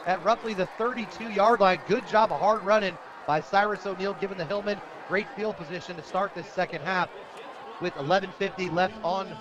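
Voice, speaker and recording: A man calling live football play-by-play on the radio in an energetic, excitable way. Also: sits high, brisk. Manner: energetic, rises to shouts on big plays. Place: outdoor high school football stadium broadcast position. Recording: loud crowd and noisy outdoor stadium. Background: crowd noise.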